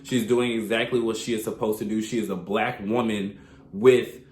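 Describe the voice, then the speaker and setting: A man with a stuffy, congested voice from a cold, giving a chatty, opinionated recap commentary with sudden high exclamations. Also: flat delivery, moderate pace, darkish tone, reverberant, slight background noise. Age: adult, past his thirties. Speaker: reality TV recap vlogger.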